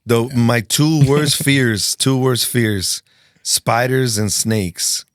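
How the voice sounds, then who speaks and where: A man from Washington State chatting casually as a podcast guest, animated and quick to swear. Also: mid-range voice, no room sound, clean studio sound. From Washington State, USA. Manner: animated, excitable, swears freely.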